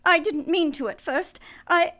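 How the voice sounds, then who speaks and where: A woman's voice: very high voice, a little slow, pushed and bright, full character voice. A woman playing a desperate, manipulative femme fatale in a live old-time radio drama, breathless and imploring. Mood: pleading, evasive.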